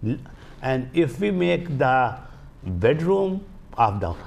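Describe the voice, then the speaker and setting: Halting older man with Indian accent, creaky voice. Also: mid-range voice, big pitch swings. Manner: halting, searching for words, repeats phrases. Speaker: guest, Vastu healer. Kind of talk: explaining in a studio interview. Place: small indoor TV studio. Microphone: lapel mic.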